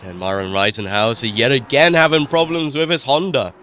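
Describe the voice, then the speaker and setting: A man commentating a sim racing broadcast live, sounding nasal from a cold, rising in excitement at overtakes. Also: mid-range voice, slight background noise. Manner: excited rises at overtakes, quick asides. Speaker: race commentator.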